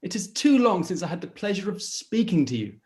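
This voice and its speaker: A man playing an amiable, smitten young gentleman in a period radio play, effusive and a little hesitant. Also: high-pitched, very animated, a little fast. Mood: wistful, smitten. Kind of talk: live-read period radio play acting.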